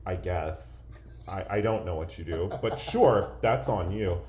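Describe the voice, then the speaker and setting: Low-voiced laid-back man in a roomy room. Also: measured pace, darkish tone. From Pennsylvania, USA. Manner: laid-back, joking, many fillers. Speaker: podcast co-host. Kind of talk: casual banter podcast chat. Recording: roomy echo.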